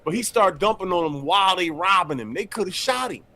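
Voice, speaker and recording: A man's voice: high-pitched, lively intonation, brisk, vocal fry, pushed and bright, no echo. A man co-hosting a live show, throwing in blunt, profane, mocking comments about news clips. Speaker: co-host.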